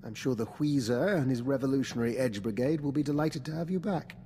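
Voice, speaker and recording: Game supporting role: inquisitive spy crewmate played by a man, mid-pitched male voice, courteous and precise, slightly sly, long hall reverb. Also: quick pace, dark tone, a little hiss or hum, lightly acted. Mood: smoothly needling. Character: polite, inquisitive, faintly sly male intelligence colleague. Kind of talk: video game voice acting.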